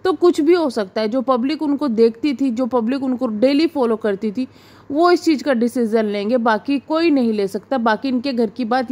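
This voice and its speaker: High-pitched woman giving gossip commentary. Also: a little mellow. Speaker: reaction video host. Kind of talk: informal gossip commentary to viewers.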